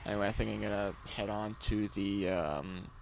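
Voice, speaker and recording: A man giving casual, unscripted video game commentary, rambling and halting as he goes, as a reviewer. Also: on the low side, fairly even intonation, vocal fry, slight background noise, low-quality recording. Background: game cutscene audio and music.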